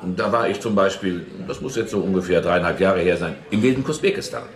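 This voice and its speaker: An echoey broadcast voice: a boastful impostor detective played by a man, very low in pitch. He rambles self-importantly as a talk show guest in a radio play. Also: fast talker, close to an everyday voice. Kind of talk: radio drama acting of an interview guest. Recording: echoey broadcast heard within the scene.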